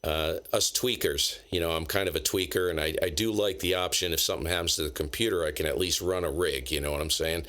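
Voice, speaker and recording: A man talks shop over ham radio, chatty and enthusiastic, reeling off call signs. His low voice has a bright, forceful edge. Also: fairly even intonation, quick pace, hardly any pauses. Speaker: ham radio operator, channel host. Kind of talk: casual radio chat. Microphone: station microphone.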